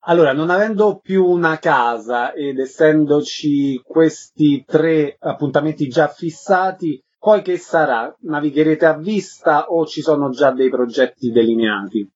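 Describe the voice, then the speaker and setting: Higher-voiced husky male radio host. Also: a little slow, hardly any pauses, a little mellow. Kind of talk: radio interview questions. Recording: slightly dull radio sound.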